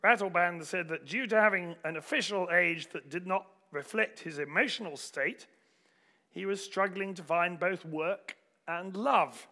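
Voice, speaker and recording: A man delivering a sermon with anecdotes, in deliberate, rhetorical phrasing. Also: high voice, pushed and bright. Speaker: preacher.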